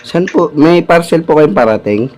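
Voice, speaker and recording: A man's voice: on the high side, expressive, moderate pace, a little mellow, slight background noise. A Filipino man on a casual prank call, posing as a delivery rider with polite, hesitant patter. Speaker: prank-calling vlogger. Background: music.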